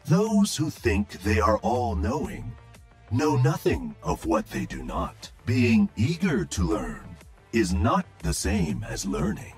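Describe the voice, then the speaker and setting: Very husky, slow robot monk. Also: male voice, a little high, sing-song, soft background music, full character voice. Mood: serene, wise. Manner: measured, meditative. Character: serene male robot monk, philosophical, wise. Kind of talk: video game voice lines.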